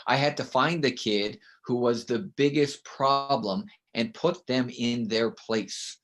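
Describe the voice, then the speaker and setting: A middle-aged man from Canada talks in an emphatic, storytelling way, with a loud voice at an ordinary pitch. He often checks in with the listener with a quick "right?" as a guest in an interview. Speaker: guest author and consultant. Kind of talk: conversational interview.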